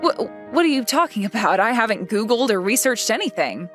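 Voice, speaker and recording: A woman's voice: on the high side, big pitch swings, fairly quick, over music. A young woman playing a reserved yet playful schoolgirl in a manga dub, coy and matter-of-fact with a touch of indignation. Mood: flustered. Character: reserved yet playful, easily flustered schoolgirl love interest.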